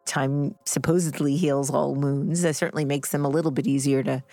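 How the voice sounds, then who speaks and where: Woman, low voice, dry close sound, reflective interview talk, articulate, emphatic phrasing. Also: steady tone, normal speed, dark tone, dry recording. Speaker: podcast interviewer, guest on this show.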